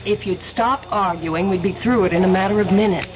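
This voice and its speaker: A woman's voice: medium pitch, brisk, crowd noise, lightly acted. A woman acting a poised, cool-headed young society woman in an old-time radio drama. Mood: calm, assured. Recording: dull old radio broadcast with music.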